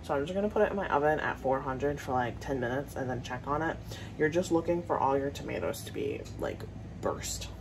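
A woman's voice: extremely low pitch, expressive, brisk, background noise. A woman chatting casually to viewers as she cooks in her home kitchen, talking through each step as she works. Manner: chatty, talks while cooking, addresses viewers. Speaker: home cooking vlogger. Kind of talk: casual cooking vlog chat.

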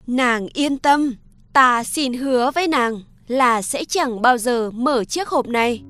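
A woman's voice: a little high, slow, fairly bright tone, no echo. Woman playing an earnest young fisherman. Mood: tender, earnest. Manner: earnest, polite. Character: earnest young fisherman, devoted to his mother. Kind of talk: cartoon folktale voice acting.

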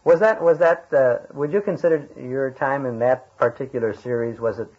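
A man with a medium-pitched, slightly husky voice acts as interviewer. He talks casually and haltingly, feeling for his words, on a dull archival radio recording. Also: a little mellow.

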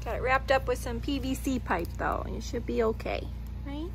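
A woman farmer in a barn talking to her goats in soft, cooing baby talk, filmed on a handheld phone. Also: fairly high pitch, animated, moderate pace, creaky, background noise. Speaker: farmer vlogger. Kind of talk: casual talk to animals. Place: indoor barn goat pen.

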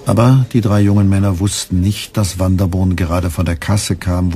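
A man with a very husky voice, narrating a scripted radio play in a sober, matter-of-fact way. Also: low-pitched, a little mellow.

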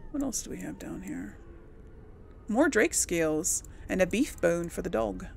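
A woman's voice: medium pitch, big pitch swings, a little slow, gravelly, slight background noise. A woman giving casual gameplay commentary, thinking aloud in trailing fragments over game music. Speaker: gameplay host.

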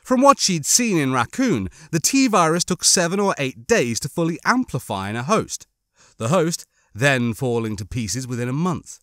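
A man's voice: on the high side, big pitch swings, normal speed, fairly bright tone, no echo, clean studio sound. A man narrating a horror novel as an audiobook, reading a dramatic scripted story.

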